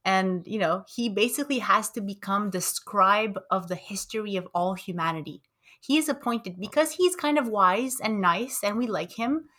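A woman's voice: mid-range voice, expressive, normal speed, fairly bright tone. A young woman co-hosting a podcast, playful and curious, asking probing questions in casual talk. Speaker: podcast co-host.

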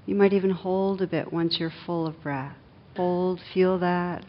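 A woman leading a guided meditation as a teacher, speaking gently and soothingly, with long unhurried pauses. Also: steady tone. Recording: dull muffled recording with faint rumbling noise.

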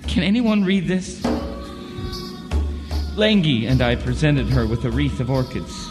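A man playing a haunted, ailing man who narrates his journal and speaks in an audio drama, halting and repeating his words. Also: medium pitch, sing-song. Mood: distraught, grieving. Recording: long hall reverb, music behind. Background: music.

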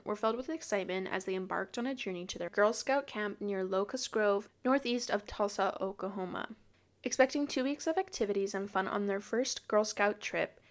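Podcast host: woman with a mid-range voice, reads a true-crime script steadily, casual when she chats. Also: mid-range voice.

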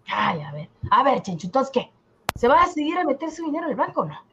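A man's voice: very high-pitched, very animated, quick pace, creaky, bright, forceful tone, lo-fi sound, slight background noise, pitched above the performer's own voice, full character voice. A man voicing a worldly, sly male neighbour who explains and insists in a folksy way in a comic radio drama. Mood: knowing, persuasive.

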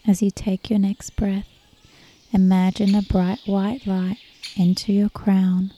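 Slow, soothing woman guiding meditation. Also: mid-range voice, a little hiss or hum. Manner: soothing, measured, with long pauses. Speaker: meditation guide. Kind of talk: guided meditation narration. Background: soft meditation music with birdsong.

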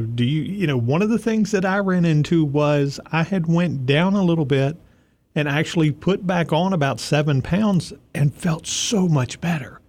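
A man's voice: fairly high pitch, darkish tone. Allergy-stuffy man giving folksy advice. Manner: folksy, encouraging, talks to listener directly. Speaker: host. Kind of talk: casual chat, advice.

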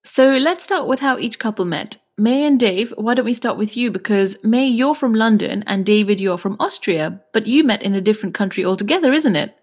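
A woman's voice: mid-range voice, lively intonation, a little fast, hardly any pauses, husky. A woman hosting and interviewing guests in a conversational way. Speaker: podcast host.

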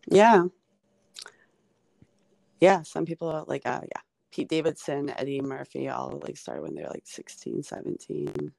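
Rambly comic in their 40s, lots of likes, creaky voice, dry close sound. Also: mid-range voice, huge pitch swings, a little slow, pauses often, a little mellow, no room sound, poor audio. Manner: rambling, filler-heavy, chatty. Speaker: podcast guest, comedian. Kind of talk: casual chat.

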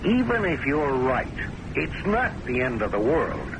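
Lively-pitched, reasoning security official, old radio. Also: male voice, a little slow. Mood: calm, philosophical. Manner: calm, patient, matter-of-fact. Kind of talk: old-time radio drama acting. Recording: noisy old radio broadcast recording.

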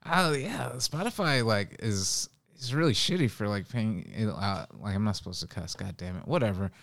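A man's voice: lowish voice, expressive, moderate pace, vocal fry, a bit bright. A young man chatting casually as a podcast guest, rambling and slangy. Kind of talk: casual chat.

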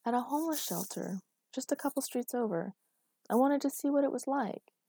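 A woman's voice: sits high, expressive, a little fast, gravelly, warm tone, husky, no echo, voice pushed up, full character voice. A woman voicing a headstrong, dreamy and defiant woman with naive candour in a dramatic story reading. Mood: defensive.

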